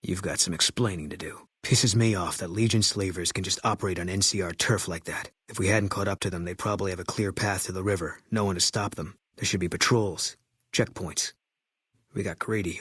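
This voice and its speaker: An actor voicing a grim, bitter former army sniper in a video game, guarded and grieving, with terse, flat, brooding delivery. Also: male voice, low-pitched, breathy edge, dry recording, clean studio sound. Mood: cold, angry.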